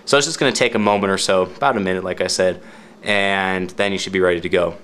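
A man's voice: low-pitched, fairly even intonation, quick pace, a bit bright, slight background noise. A man narrating a step-by-step product tutorial in an informal, explanatory way, repeating key points for clarity. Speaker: product demonstrator.

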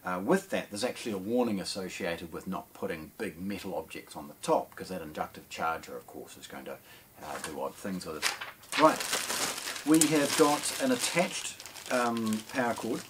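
A middle-aged man with a New Zealand accent casually presenting an unboxing, in a hesitant, improvised way with frequent pauses. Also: sits low, expressive. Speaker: product presenter at an audio shop. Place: small indoor showroom with shelves and speakers. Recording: clear background noise.

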